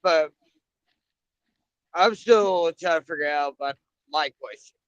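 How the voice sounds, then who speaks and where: Man, guest, fairly high voice, hesitant, muffled lo-fi call sound, dry. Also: measured pace, smooth, no echo. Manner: hesitant, asks questions with fillers. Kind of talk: casual chat. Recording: dull lo-fi call audio.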